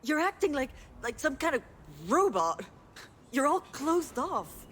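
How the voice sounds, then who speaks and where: A woman's voice: high voice, big pitch swings, unhurried, fairly bright tone, slight background noise, heavily acted. A woman playing a blunt, tough, hot-tempered sister in a visual novel, speaking in a direct, sardonic, confrontational way. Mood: upset, confrontational. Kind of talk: visual novel voice acting, dramatic dialogue.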